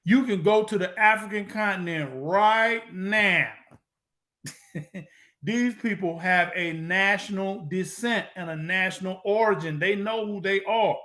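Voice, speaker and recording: Male lecturer with a high voice, preachy and emphatic, hammers points by repetition and rhetorical questions, casual grammar. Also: a little slow, pushed and bright. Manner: street-style informal grammar. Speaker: lecturer on Moorish American history.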